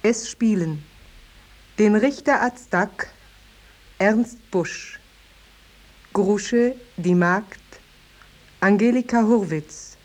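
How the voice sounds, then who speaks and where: A woman announcer reading a scripted 1950s German radio introduction in a formal, deliberate way. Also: medium pitch.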